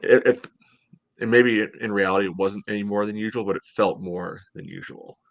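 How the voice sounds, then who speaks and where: Low-voiced American man, halting delivery. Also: fairly even intonation, creaky. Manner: hesitant, halting delivery, heavy use of "you know". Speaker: sports podcast host. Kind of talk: casual sports discussion. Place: home room, indoors, on a video call. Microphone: headset on video call.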